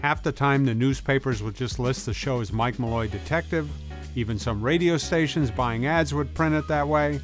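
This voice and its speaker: A man narrates a video essay from a script, using informal, folksy turns of phrase. His pitch sits in the middle of the male range. Music with guitar plays clearly behind him. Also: moderate pace. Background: music bed with guitar.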